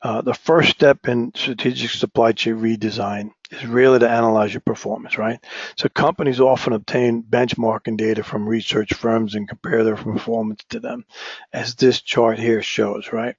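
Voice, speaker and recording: A man teaching a lecture, hoarse from a cold, often restarting phrases and checking in with "right?". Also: mid-range voice, fairly even intonation, moderate pace, no room sound. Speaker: instructor. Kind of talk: recorded online lecture. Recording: dull, dry lo-fi recording.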